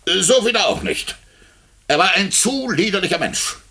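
An actor playing a blustering, flustered Russian district police chief in a 1950s German radio play. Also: male voice, high voice, sing-song, moderate pace, bright, forceful tone, slightly rough, full character voice. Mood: secretive, pompous. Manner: blustering, officious, muttering to himself.

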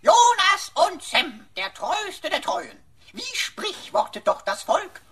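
A man's voice: very high-pitched, huge pitch swings, slow pace, pushed and bright, distant mic, heavily acted. A man voicing a small, cheeky, pedantic computer companion in a radio play, with florid, quaint speech. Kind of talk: radio drama acting.

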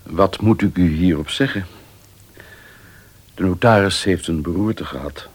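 An actor playing a grave, authoritative family doctor, formal and measured, in a Dutch radio play. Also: male voice, sits low, breathy edge.

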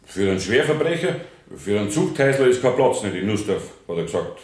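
A Bavarian master carpenter testifies in a radio play. His voice sits fairly low and rings in a roomy space. He speaks plainly and respectfully, with a dry, resigned edge. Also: big pitch swings, fast, darkish tone. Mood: earnest, resigned. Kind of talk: German radio play courtroom acting. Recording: roomy 1970s radio drama studio.

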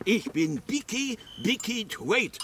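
An actor playing a blustering, tactless upper-class school chum in a German radio drama, gushing and chatty with old-fashioned gallantry. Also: male voice, sits high, sing-song, slow pace, fairly bright tone, full character voice. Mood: effusive, gallant. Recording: clear background noise.

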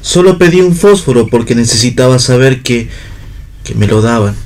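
Radio drama vagabond, male actor: Argentine, mid-pitched, dreamy, understated, plain-spoken. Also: lively intonation, moderate pace, a little mellow, natural voice. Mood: calm, resigned. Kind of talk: radio theatre acting.

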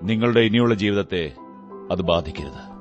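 Radio drama detective: observant, condescending, deliberate and instructive, with animated, swooping intonation. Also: male voice, low voice, music in the background. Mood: businesslike, advising. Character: brilliant, self-assured male detective, observant and condescending.

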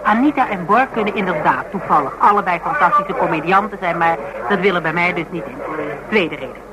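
A woman's voice: fairly low pitch, lively intonation, fairly quick, loud music behind the voice, lightly acted, rough recording. An actress with a Netherlands Dutch accent playing an outspoken woman who argues her case emphatically, in colloquial and teasing radio drama dialogue. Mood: insistent. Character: outspoken woman reasoning stubbornly with a fanatic cop.

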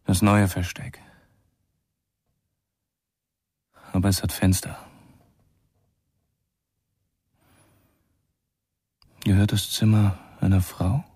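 A fairly low-pitched young man, fragile and fearful, played by an actor in a radio crime drama. He speaks haltingly and inwardly, as if brooding alone in hiding. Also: mellow, close to an everyday voice. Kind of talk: German radio drama acting, inner monologue.

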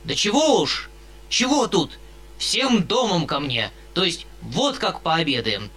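A man's voice: sits high, sing-song, slow pace, bright, forceful tone, voice pushed up, full character voice. A man reading an audiobook, voicing a hearty, fawning fat country landowner who blusters effusively.

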